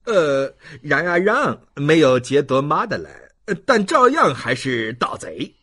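A narrator acts out a sly male swindler from an audiobook novel, husky and swooping wildly in pitch. He wheedles and insinuates, stalling with drawn-out hesitations. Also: big pitch swings, pushed and bright, heavily acted. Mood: sly, insinuating. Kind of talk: audiobook character acting.

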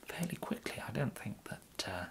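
A young man with an English accent softly whispering an ASMR roleplay as a polite, hesitant gentleman tailor. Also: fairly low pitch, big pitch swings, normal speed, gravelly. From England. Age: young adult. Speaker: ASMR performer playing a tailor.

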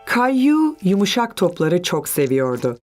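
A woman narrating a scripted children's story in a gentle, measured way. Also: fairly low pitch, very animated, no room sound, soft background music.

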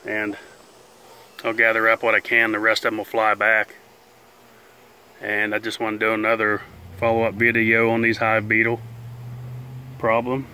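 A man talks in a plain, unhurried, folksy way as he films outdoors in a chicken pen, his voice bright and forward. A faint buzz of bees hangs in the open air behind him. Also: lowish voice, completely flat delivery, slight background noise. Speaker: beekeeper and channel host. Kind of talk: casual narration while filming. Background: faint buzzing of bees outdoors.

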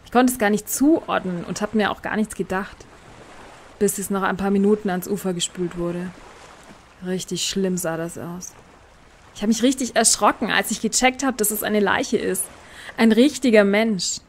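A woman's voice: mid-range voice, normal speed, a little hiss or hum, natural voice. A woman playing a shaken jogger witness in an audio crime drama, talking in a hesitant, colloquial way. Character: shaken female jogger, witness who found a body.

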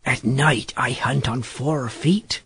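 A man voicing a wild, sly teenage werewolf boy who speaks slowly and insolently, savouring words in a husky voice, for an audiobook. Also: medium pitch, fairly bright tone, dry recording, pitched below the performer's own voice, exaggerated voice. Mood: coolly menacing. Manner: slow, drawn-out, relishing words. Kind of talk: audiobook character voice.

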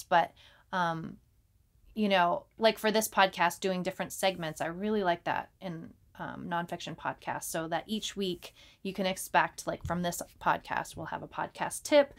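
A woman's voice: mid-range voice, a bit bright. A woman co-hosting a podcast, explaining things in a casual, conversational way. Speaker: podcast co-host.

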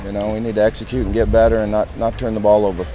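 Low-voiced coach talking after a game. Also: male voice, flat delivery, dark tone, a little hiss or hum. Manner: plain, measured, many "you know" fillers. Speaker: head football coach. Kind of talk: post-game press interview. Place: outdoor stadium, by the stands.

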